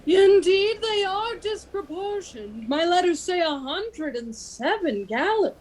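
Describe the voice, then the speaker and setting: A senator played by a woman speaks formal Shakespearean verse in a high, lively voice. The delivery is slow and deliberate, with faint noise behind it. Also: exaggerated voice, poor audio. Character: grave, deliberate Venetian senator. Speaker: amateur actor in an online radio play. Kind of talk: Shakespearean verse acting for radio. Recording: faint background noise on online call.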